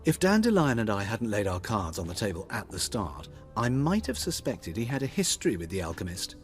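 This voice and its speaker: An actor playing a flamboyant, witty cross-dressing tailor in a fantasy video game, with a theatrical, coy delivery and drawn-out phrasing. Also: male voice, lowish voice, big pitch swings, faint music behind. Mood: gossipy. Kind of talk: video game dialogue acting.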